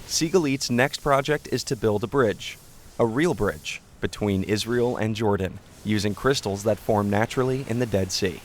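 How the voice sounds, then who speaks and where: Male reporter, fairly low voice, measured scripted narration. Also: a little hiss or hum.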